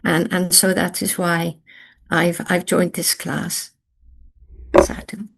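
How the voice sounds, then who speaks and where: Slow, thoughtful nun. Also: female voice, on the low side, little pitch movement, vocal fry, husky. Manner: thoughtful, with repeated restarts. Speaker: Buddhist nun student. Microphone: video call.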